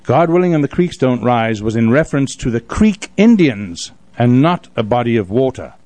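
A man chats as a radio host in a dry studio. His delivery is casual and folksy, with a joking streak. His pitch sits in the middle for a man. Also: big pitch swings, moderate pace, mellow, no echo. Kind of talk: casual chat, live radio hosting.

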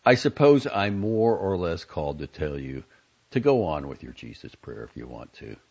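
Guy voicing a blunt young brother on the phone, gruff, low and gravelly, dull lo-fi sound. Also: sing-song, moderate pace, a little mellow, full character voice. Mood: earnest. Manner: gruff, earnest. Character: blunt young man calling his sister. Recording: dull lo-fi audio.

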